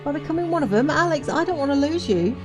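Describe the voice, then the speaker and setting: Woman playing anxious devoted young wife, higher voice. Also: animated, fast. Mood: worried, pleading. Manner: pleading, earnest, old-fashioned phrasing. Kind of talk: audio drama acting. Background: music.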